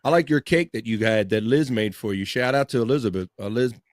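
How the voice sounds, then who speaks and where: Male podcast panelist, medium pitch, casual chatty plugs, dry close recording. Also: lively intonation, quick pace, no room sound. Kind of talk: casual chat, plugging shows.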